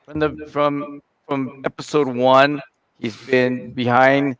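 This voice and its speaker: A man's voice: mid-range voice, little pitch movement, slow pace, crowd noise, low-quality recording. Joking man introducing a co-host. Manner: joking, chatty, with fillers and restarts. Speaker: head of the organization. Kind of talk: casual live talk show chat. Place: live broadcast studio.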